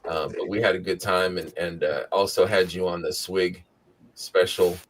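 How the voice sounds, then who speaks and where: A man's voice: sits low, steady tone, normal speed. A man hosting a weekly live show in casual chat, with a scratchy morning voice, rambling, tired but upbeat.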